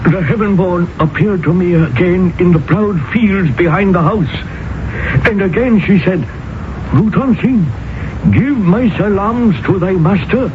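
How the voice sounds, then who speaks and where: An actor playing a devoted, fearful Indian manservant who speaks in formal, solemn, old-fashioned phrases in a radio drama. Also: male voice. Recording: tinny, noisy radio broadcast with rumble.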